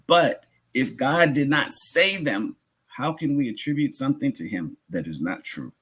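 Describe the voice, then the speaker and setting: Male preacher, Islamic lecture, husky voice, lively swooping intonation, explanatory, emphatic repetition, rhetorical asides. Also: mid-range voice, normal speed. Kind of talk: religious lecture, teaching.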